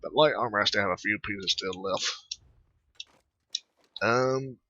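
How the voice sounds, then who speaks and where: A man narrates his video game playthrough in a very bright, tense, lowish voice. He rambles haltingly with muttered asides. The sound is dry and a little dull, with clicking. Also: dry recording. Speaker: gaming channel host. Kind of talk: casual let's play commentary, reading dialogue aloud.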